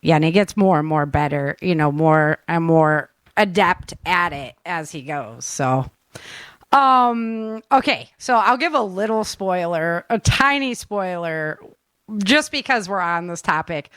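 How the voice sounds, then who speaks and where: A woman's voice: on the low side, expressive, a little slow, fairly bright tone, clean tone, no room sound, clean studio sound. A woman co-hosting a casual online chat, speaking informally and enthusiastically with plenty of slang. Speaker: co-host and audio drama producer. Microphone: online call.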